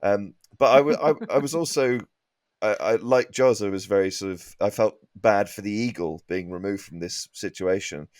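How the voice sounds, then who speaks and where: Low-voiced, halting comedian, close and dry. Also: male voice, a little mellow, dry recording. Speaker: comedian, podcast guest. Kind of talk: comic ranting in casual panel chat.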